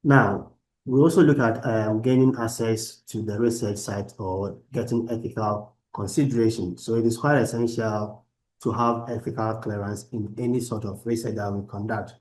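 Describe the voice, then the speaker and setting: A man lecturing online from his laptop, explaining research methods in a halting way with many restarts. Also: fairly low pitch, steady tone, darkish tone, rough recording. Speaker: university lecturer. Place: at a laptop on a video call. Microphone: laptop microphone.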